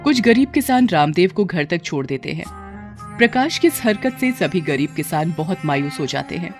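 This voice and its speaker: Lively lowish-pitched woman storyteller. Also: normal speed, soft background music. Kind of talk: scripted story narration.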